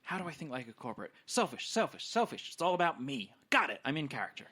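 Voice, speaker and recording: A man playing a drugged-up, loud radio host in a tabletop game, rambling and repeating himself with slurred, drawn-out words. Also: on the high side, sing-song, slightly rough, full character voice. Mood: cocky. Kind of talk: improvised role-play in a tabletop game.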